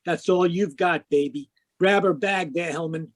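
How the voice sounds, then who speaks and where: An actor playing a world-weary, wisecracking private detective in 1940s radio noir style. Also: male voice, sits high, normal speed, dry recording, clean studio sound. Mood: terse, cynical. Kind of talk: 1940s radio noir acting, first-person narration. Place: home room, live video call performance.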